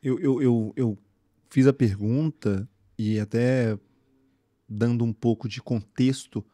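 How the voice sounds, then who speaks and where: A man hosts a podcast interview, building long questions with restarts. His voice has a middle pitch. The sound is dry and close. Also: slow pace, mellow, no echo. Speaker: podcast host.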